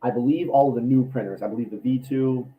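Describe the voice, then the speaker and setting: A man with a raspy voice from allergies, chatting casually on a livestream about his builds, rambling with many ums and restarts. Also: medium pitch, fast, narrow, tinny audio. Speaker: cosplay maker and YouTuber.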